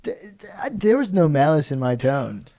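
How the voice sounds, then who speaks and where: A man's voice: fairly high pitch, big pitch swings, normal speed, mellow. A young man co-hosting a podcast, laid-back and laughing, often saying dude. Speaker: podcast co-host. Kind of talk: casual chat.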